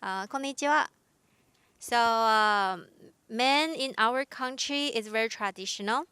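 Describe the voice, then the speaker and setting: Woman, very clear voice. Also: on the high side, measured pace, sharp tone, no echo. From Japan. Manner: simple, hesitant phrasing. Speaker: panel guest. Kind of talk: casual discussion.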